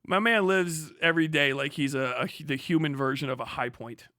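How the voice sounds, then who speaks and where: High-pitched, bright American man, sarcastic streamer. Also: a little fast, no room sound, clean studio sound. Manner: sarcastic, profane, incredulous. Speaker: livestream host reacting to a video. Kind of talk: casual reaction commentary.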